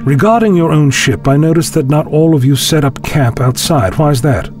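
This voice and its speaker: A man playing a measured, learned time-traveller. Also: fairly high pitch, a little mellow, faint music behind, natural voice. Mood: grave. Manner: measured, deliberate, formal phrasing. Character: learned, calmly authoritative male time-travelling scientist. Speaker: lead actor in a fan audio drama. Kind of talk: scripted science fiction audio drama acting.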